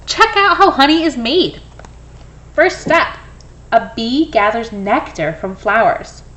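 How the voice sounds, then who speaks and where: A woman reading a children's picture book aloud in an expressive, storytelling way. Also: a little high, slow pace, poor audio. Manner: expressive, acts out dialogue. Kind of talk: animated children's read-aloud.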